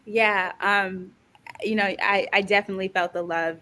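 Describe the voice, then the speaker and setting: Bright, flat-voiced woman guest. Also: medium pitch, moderate pace. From USA. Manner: soft-spoken, frequent ums and you knows. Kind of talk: casual interview reflection.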